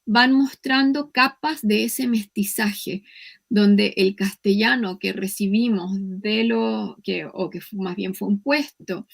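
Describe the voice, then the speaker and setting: Woman, Chilean accent, gravelly vocal fry, academic lecture delivery, measured and explanatory. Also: medium pitch. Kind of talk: academic conference lecture with slides.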